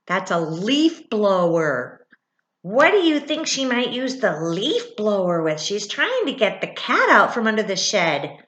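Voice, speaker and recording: Middle aged lady teacher doing a slow animated storytime read for little kids, echoey room. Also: mid-range voice, lo-fi sound. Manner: expressive, with dramatic gasps and thoughtful hums. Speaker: teacher reading a children's book aloud. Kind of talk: animated read-aloud for young children, with asides and questions. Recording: roomy, echoey indoor sound.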